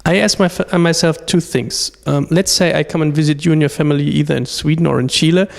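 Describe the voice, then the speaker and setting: A man conducting an interview, asking brisk, upbeat questions. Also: fairly high pitch, steady tone, gravelly, long reverb tail.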